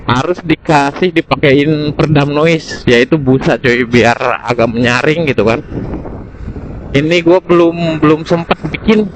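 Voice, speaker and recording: A man's voice: on the high side, a little slow. Man rambling into a noisy helmet mic. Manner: informal, slangy, rambling. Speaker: motorcycle vlogger. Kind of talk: casual motovlog chat, product review. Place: outdoors, riding a motorcycle. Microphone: intercom camera mic inside full-face helmet. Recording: windy, noisy helmet-mic recording on motorbike.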